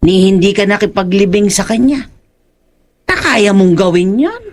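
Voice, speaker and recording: A woman's voice: medium pitch, lively intonation, normal speed, a little mellow, close to an everyday voice. A woman playing a concerned, scolding aunt in a horror audio drama, speaking in a nagging, probing manner. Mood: concerned, reproachful. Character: concerned, scolding aunt questioning her drinking nephew.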